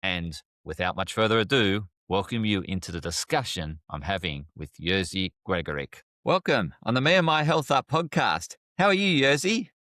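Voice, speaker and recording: Podcast host, male, low-pitched, eager and repetitive, dry close sound. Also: lively intonation, normal speed, few pauses, bright, forceful tone, dry recording. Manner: enthusiastic, repeats phrases, uses fillers. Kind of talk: podcast interview introduction.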